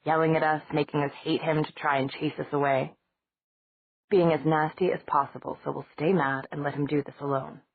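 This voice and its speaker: A woman reading an audiobook, voicing a level-headed young woman who talks quietly, ruefully and soberly. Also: deep voice, flat delivery, moderate pace, husky, no room sound. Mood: wry. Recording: dry, tinny phone-like sound.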